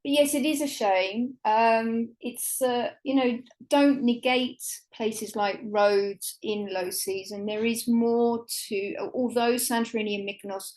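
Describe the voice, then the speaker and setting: A woman's voice: fairly high pitch, fairly even intonation, unhurried, hardly any pauses, darkish tone, rough recording. Chatty woman guest. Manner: chatty and enthusiastic, many "um" fillers. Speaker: travel guidebook writer, guest. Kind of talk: conversational podcast interview.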